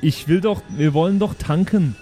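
A naive alien youngster, played by a man, rather high in pitch but soft and mellow in tone. The character sounds hesitant and childlike, always questioning, over faint music in an audio comedy. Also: normal speed, lightly acted. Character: naive, slow-witted alien child, devoted to papa. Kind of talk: improvised audio drama acting.

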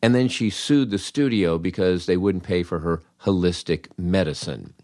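An older American man with a low voice tells funny first-person stories in a dry, close recording. His delivery is wry and animated, with dramatic pauses and little bits of acted-out dialogue. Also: no echo. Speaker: podcast host, comedy writer. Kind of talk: humorous first-person storytelling monologue.

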